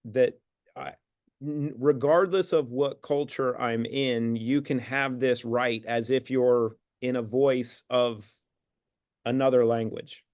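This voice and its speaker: A man's voice: mid-range voice, steady tone, takes it slowly, darkish tone. A man on a video call asking a long, rambling question, thinking aloud as he goes. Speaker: company executive. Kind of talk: live webinar question.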